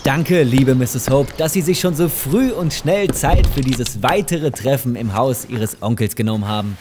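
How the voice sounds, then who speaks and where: A man's voice: mid-range voice, normal speed, noisy background, lightly acted. A courteous, evasive male lawyer acted by a man in an audio drama, with a mid-range voice. He is fussy and hesitant, doubling his phrases as he goes. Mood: courteous, flustered. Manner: polite, hesitant, repeats himself. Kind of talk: German audio drama dialogue.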